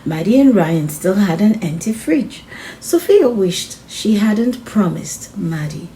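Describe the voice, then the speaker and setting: A woman reads a children's story with lively, swooping intonation at an unhurried pace. Her tone is soft-edged and mellow, and she addresses young listeners in an encouraging way. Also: mid-range voice, slight background noise. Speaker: children's read-aloud channel host. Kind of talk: expressive picture-book read-aloud for children.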